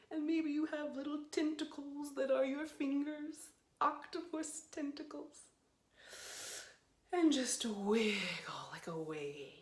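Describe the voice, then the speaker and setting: Female children's storytime host. Playful and expressive, higher-pitched, unhurried delivery, recorded in a roomy space. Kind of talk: children's storytime, guided stretching and read-aloud.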